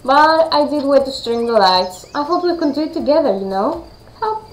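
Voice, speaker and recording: A woman's voice: high-pitched, lively intonation, normal speed, echoey room, pitched above the performer's own voice, low-quality recording. A woman with a Greek accent voicing a bubbly, eager teenage schoolgirl roommate in a story game, chatty and gushing.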